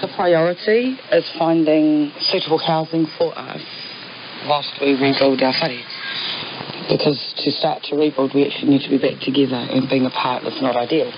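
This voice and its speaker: A woman's voice: low-pitched, steady tone, normal speed. A woman with a New Zealand accent answering as an interviewee in a news report. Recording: muffled noisy shortwave radio interview clip.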